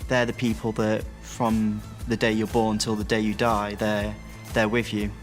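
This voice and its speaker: Flat-voiced young student, casual. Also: male voice, fairly low pitch, measured pace, faint music behind. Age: young adult. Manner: casual, slightly fumbling. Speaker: university student.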